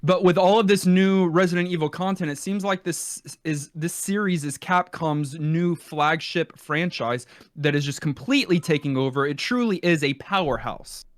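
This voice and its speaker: A man's voice: on the high side, moderate pace, hardly any pauses, no echo, clean studio sound. A man hosting a casual gaming podcast, chatting and bantering in a teasing way. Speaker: podcast host.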